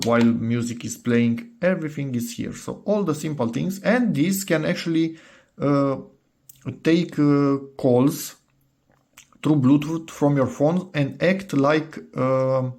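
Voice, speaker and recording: Creaky-voiced man casually demoing a gadget. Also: medium pitch, a little mellow. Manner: hesitant, thinking aloud. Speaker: DIY electronics video maker. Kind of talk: casual unscripted product demo.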